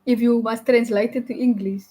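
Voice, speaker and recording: A woman's voice: a little high, steady tone, dark tone. A young woman whose first language is Afrikaans, chatting casually on a live stream while she trades, often restarting and correcting herself. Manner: halting, many restarts and self-corrections.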